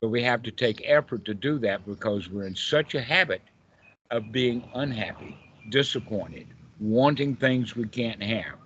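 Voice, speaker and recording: A man's voice: mid-range voice, expressive, measured pace, fairly bright tone, breathy edge. A man with a Southern US accent teaching informally in a group call, emphatic and fond of repeated rhetorical questions. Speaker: meditation teacher. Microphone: online group call. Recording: dull, lo-fi internet call audio.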